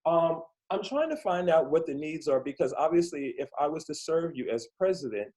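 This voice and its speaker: A man's voice: on the high side, lively intonation, moderate pace, darkish tone, rough recording. A man hosting a children's storytelling interview series who speaks earnestly and thinks aloud with fillers and restarts, talking over a video call. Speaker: host.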